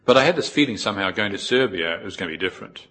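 A middle-aged man with a New Zealand accent giving an informal, reflective Buddhist talk in a measured way, with pauses. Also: fairly low pitch, animated, fairly bright tone. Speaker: Buddhist monk, teacher. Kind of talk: informal spoken dharma talk with personal anecdotes.

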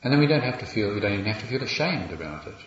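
A Buddhist monk with a New Zealand accent gives a dharma talk in a gentle, reflective way, with wry asides. His voice sits on the low side for a man. The recording is roomy and a little dull, with faint ambience behind him. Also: big pitch swings, very fast.

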